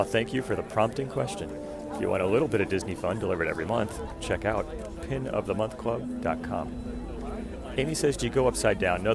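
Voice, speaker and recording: Guy live streaming outside at night, scratchy stuffy voice, real low, loud music behind. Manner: friendly, answers questions with fillers. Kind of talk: live stream chat, reading viewer comments. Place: outdoors at a theme park event at night.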